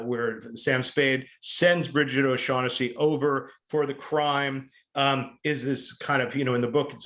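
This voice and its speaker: Man giving an informal, halting film lecture. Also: mid-range voice, fairly bright tone.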